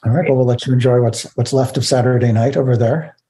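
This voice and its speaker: Male host, middle-aged, average pitch, relaxed chat format on a video call. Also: quick pace, a little hiss or hum. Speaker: interview host. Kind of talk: casual video interview.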